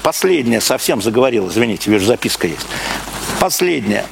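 A man's voice: mid-range voice, big pitch swings, a little fast. A man from Russia giving a live public lecture, speaking emphatically with repeated phrases, rhetorical questions and broken-off sentences. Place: live talk before an audience. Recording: clearly noisy live recording.

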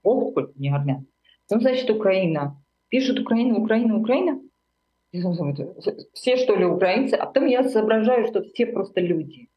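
A woman's voice: mid-range voice, expressive, brisk, lo-fi sound. A middle-aged woman from Armenia, a writer speaking as an interview guest in a reflective, searching way, full of fillers and self-corrections.

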